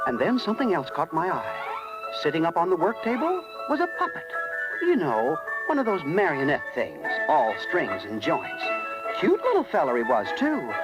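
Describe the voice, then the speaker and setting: A man's voice: high-pitched, lo-fi sound, exaggerated voice. A man playing a folksy little cricket who narrates with wry asides in 1930s radio style. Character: chirpy folksy little male cricket storyteller. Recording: vintage 1930s radio, music behind.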